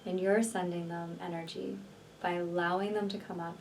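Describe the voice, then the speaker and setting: A woman leading a guided healing meditation in a slow, soothing, deliberate manner with long pauses between phrases. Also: on the low side, flat delivery, darkish tone. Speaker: energy healer and meditation guide. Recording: distant mic, faint background noise.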